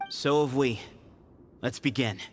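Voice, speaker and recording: A man voicing a proud young leader of a secretive desert temple in a video game, measured, earnest and declamatory. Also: medium pitch, slight background noise, natural voice. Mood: cool, confident. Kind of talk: anime video game voice acting. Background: game music.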